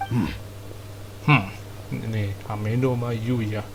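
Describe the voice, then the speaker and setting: A man's voice: lowish voice, fairly even intonation, slow, poor audio. A man gives casual, slangy live commentary as a gaming streamer. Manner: slangy, informal. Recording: clear game noise behind voice. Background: game audio.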